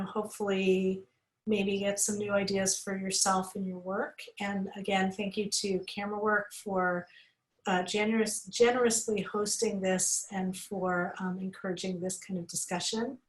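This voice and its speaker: Even-toned woman moderating a panel. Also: medium pitch, poor audio. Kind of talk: informal closing remarks and thanks. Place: online video panel.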